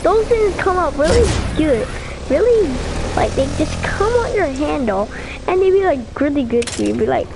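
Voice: high voice, lively intonation, normal speed, background noise. A video game player chatting casually with teammates over a game voice chat.

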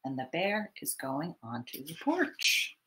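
A woman in her thirties hosting storytime, reading a picture book to young children in an expressive, teacherly way. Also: low-pitched, a little hiss or hum. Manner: expressive, deliberate teacherly delivery. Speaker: children's library storytime host. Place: small home room, indoors.

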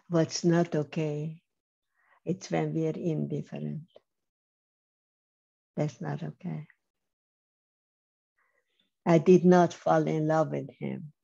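An older woman giving a measured, emphatic personal testimony over a video call, speaking slowly with pauses. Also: sits low, level tone, creaky, mellow, slightly rough. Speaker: Holocaust survivor speaker. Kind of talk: slow personal testimony talk. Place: home room on video call. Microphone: webcam mic on video call. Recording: slightly dull lo-fi video call audio.

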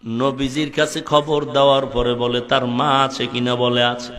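A man with a Bangladeshi accent preaching an Islamic sermon, impassioned and pleading, moving between drawn-out chanted cries and plainer storytelling. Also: medium pitch, flat delivery, fairly bright tone, soft background music. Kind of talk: emotive Bengali waz sermon with chanted, sung-out lines.

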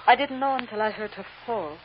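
Actress as an anxious immigrant wife, muffled old radio. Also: female voice, a little high, animated, brisk, noisy background, lightly acted. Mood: anxious, pleading. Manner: earnest, emotional, stilted foreign phrasing. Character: devoted, anxious immigrant wife and mother, insistent, tender. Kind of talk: old-time radio drama acting.

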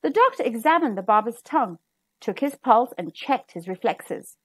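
High, animated woman reading a storybook. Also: moderate pace, slightly rough, dry recording. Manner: dramatic, emphatic. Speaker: storybook reader. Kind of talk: expressive children's read-aloud narration.

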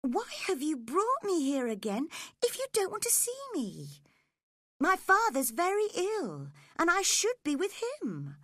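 A woman voicing a spirited, caring teenage girl who is quick to anger, in a dramatised audiobook. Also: very high-pitched, wildly swooping pitch, fairly bright tone, no echo, studio quality, voice pushed up, heavily acted. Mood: impatient.

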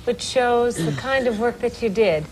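A woman's voice: on the high side, expressive, a little slow, a crowd in the background, rough recording. A woman in her thirties hosting a TV talk show, asking questions in an inquisitive, teasing way. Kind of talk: TV interview. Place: TV studio set. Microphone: lapel.

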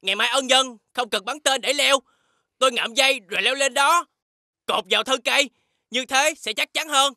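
A man's voice: extremely high pitch, moderate pace, pushed and bright, no echo, clean studio sound, exaggerated voice. A man voicing an eager, helpful talking monkey companion explaining ideas in a cartoon.

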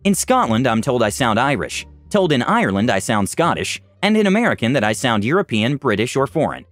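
Lively male story narrator. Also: medium pitch, a little fast. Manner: lively storytelling with shifting voices for quoted people. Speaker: narrator reading online stories. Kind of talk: scripted narration. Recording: dry close voice-over with faint music.

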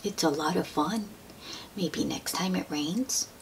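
A woman reading a picture book aloud to young children, gently drawing out her words and asking them questions in an expressive way. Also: on the low side, slow, vocal fry. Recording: steady noise behind the voice.

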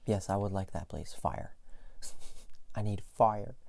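Man acting a slow, mellow teasing boyfriend. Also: lowish voice, vocal fry, no room sound. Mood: cuddly, playful. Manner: soft, teasing, intimate, unhurried. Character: playful, affectionate young boyfriend, teasing and clingy. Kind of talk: ASMR boyfriend roleplay. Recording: faint keyboard and mouse clicking noise. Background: game sounds, keyboard typing and clicking.

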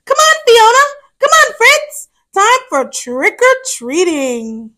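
Woman voicing upbeat high-pitched little girl hippo. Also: huge pitch swings, takes it slowly, full character voice. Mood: excited. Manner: bright, encouraging. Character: reassuring, upbeat young girl hippo, big sister.

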